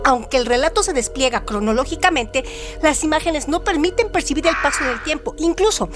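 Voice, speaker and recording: A woman's voice: sits high, expressive, brisk. A woman presenting on radio, reading a scripted literary essay in a formal, didactic way. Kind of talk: scripted literary essay narration with question hooks. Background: background music.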